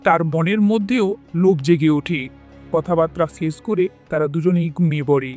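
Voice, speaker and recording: High-pitched male story narrator. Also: normal speed, darkish tone, faint music behind. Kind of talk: scripted cartoon story narration.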